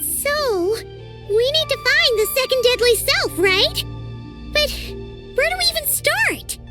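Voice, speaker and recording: A woman's voice: very high-pitched, lively intonation, slow, loud music behind the voice, exaggerated voice. A woman voicing a tiny, chatty female companion in a video game, eager and talking about herself in the third person. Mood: curious.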